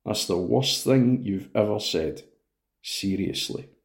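A man's voice: lowish voice, slow pace, creaky. A man voicing a terse young man who answers his grandfather in short, clipped lines. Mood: disgusted. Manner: curt, clipped replies.

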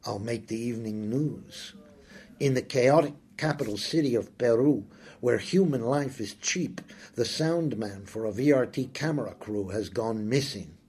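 A man reading a first-person story aloud, slow, brooding and intimate. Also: medium pitch, darkish tone, slightly rough. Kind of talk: scripted first-person audiobook narration.

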